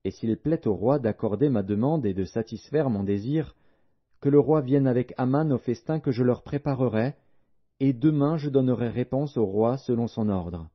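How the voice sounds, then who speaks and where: Male narrator as pleading queen, audiobook, earnest, formal, husky, muffled recording. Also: medium pitch, moderate pace, close to an everyday voice. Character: humbly pleading young queen Esther. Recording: dull, lo-fi audio.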